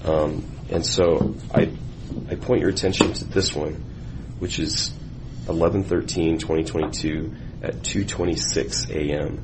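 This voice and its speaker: A man working as a police investigator, questioning someone in a methodical, halting and deliberate way. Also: very deep voice, steady tone. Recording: noisy interview room recording.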